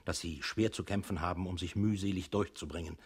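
A sober male narrator in a scripted radio play. His voice is very deep and rough-edged, recounting things formally in measured phrases. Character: sober male narrator addressing a weary employee.

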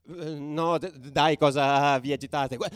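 A man's voice: a little high, steady tone, unhurried, clear, resonant tone, dry recording, studio quality, pitched above the performer's own voice, close to an everyday voice. A man voicing a nervous customs officer in a radio play, stammering and placating as he backs down. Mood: flustered placating. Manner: stammering, pleading.

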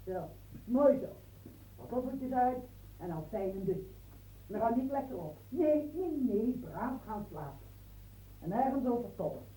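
A woman's voice: on the high side, very animated, mellow, poor audio. An actress playing a bustling, outspoken bookbinder woman who fusses and coaxes, in a radio drama. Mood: coaxing. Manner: brisk, fussing, coaxing.

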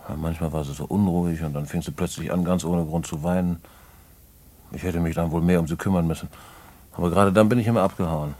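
A low male voice gives us a streetwise small-time crook behind bars. He talks in a colloquial, musing way, wistful and fatalistic, in the natural manner of a radio play. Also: dark tone.

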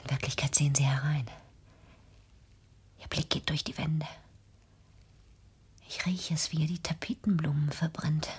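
An actress playing a fearful, reclusive daughter in a German radio drama, speaking in a hushed, brooding, intense way with a whispery voice. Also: female voice, low voice, steady tone, moderate pace, pauses often. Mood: fearful, paranoid. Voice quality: breathy, whispery. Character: fearful, paranoid, reclusive daughter haunted by persecution.

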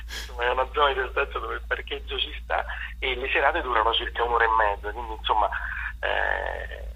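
Very husky, bright-voiced man on radio. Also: medium pitch, animated, fairly quick, lo-fi sound. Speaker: theatre guest on radio. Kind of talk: informal phone interview.

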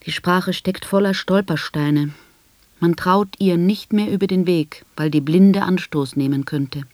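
An actress playing a blind woman who tells of her life in an articulate, measured, sardonic radio drama monologue. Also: lowish voice, darkish tone, lightly acted. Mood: wry, ironic. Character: blind woman recounting her life with bitter, ironic humour.

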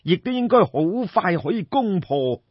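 A man's voice: high voice, very animated, moderate pace, husky, voice pushed up, heavily acted. A man with a Cantonese accent playing an obsequious, theatrical minister to an ancient king in an audiobook. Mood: deferential, flattering. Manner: obsequious, sighing, deferential. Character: fawning, theatrical male court minister to a king. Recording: dull, roomy lo-fi recording.

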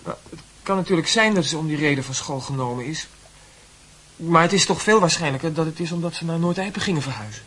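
A man's voice: fairly high pitch, fast, pushed and bright, slightly rough. A man playing an earnest, doubting teenage schoolboy in a Dutch radio play, hesitant and searching for his words. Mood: indignant.

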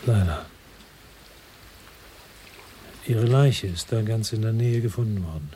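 A man's voice: on the low side, brisk, warm tone, a little hiss or hum, close to an everyday voice. An actor playing a world-weary crime investigator in a radio drama, brooding and laconic as he narrates and talks. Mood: probing.

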